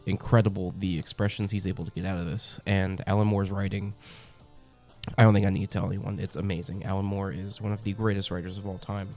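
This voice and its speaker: A young man with a cold, his voice very low, gravelly and stuffed up as he hosts a comic review channel. He talks in a chatty, enthusiastic way, full of ums and uhs, his tone dark and mellow, with faint music underneath. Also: level tone, fast talker. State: congested from a cold. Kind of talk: casual review monologue.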